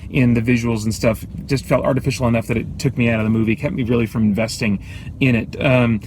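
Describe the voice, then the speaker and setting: A middle-aged American man giving a casual off-the-cuff review, thinking aloud in a halting, self-interrupting way. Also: mid-range voice, fairly even intonation. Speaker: movie reviewer and host. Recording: clear background noise, ambient sound.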